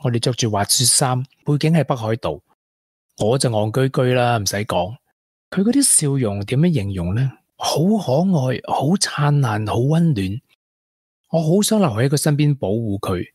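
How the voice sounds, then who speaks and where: An actor playing a regretful former tech prodigy in a radio drama, with a Hong Kong accent and earnest delivery. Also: male voice, mid-range voice, sing-song, normal speed, a little mellow, husky, no room sound, studio quality. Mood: wistful. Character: regretful young ex-tech prodigy, confessing to a woman. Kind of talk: Cantonese radio drama acting.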